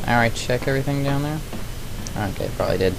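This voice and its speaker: A man's voice: mid-range voice, fast, gravelly, background noise. A man giving casual commentary while playing a video game, thinking aloud and questioning himself. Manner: thinking aloud, chatty, wondering and self-questioning. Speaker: let's play gamer.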